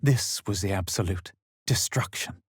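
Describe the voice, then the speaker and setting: Low, husky, haughty young adventurer. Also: male voice, no echo, studio quality, exaggerated voice. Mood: grave. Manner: superior, measured, literary. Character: haughty, self-assured young male fantasy adventurer. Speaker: video game player-character voice actor. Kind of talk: scripted game voice lines, narration and quips.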